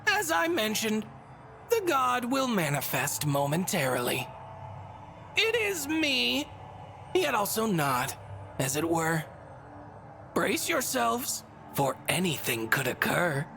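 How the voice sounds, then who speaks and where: A whimsical, cryptic goddess from a video game, talking slowly in theatrical rhyme. Her pitch swoops widely with a creaky edge, teasing and aloof, as music plays underneath. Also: huge pitch swings, fairly bright tone, exaggerated voice. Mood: cryptic, instructing. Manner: theatrical, teasing, rhyming riddles. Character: whimsical, bored, cryptic female god speaking in rhyme. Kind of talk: video game cutscene voice acting.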